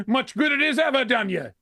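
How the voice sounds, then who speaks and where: A man's voice: very high voice, expressive, moderate pace, vocal fry, bright, forceful tone, no room sound, clean studio sound, exaggerated voice. An actor playing a grumpy, miserly old man who bullies and sneers in vintage radio drama style. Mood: scornful. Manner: barking, scornful, emphatic. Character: grumpy, miserly old male employer, contemptuous and bullying.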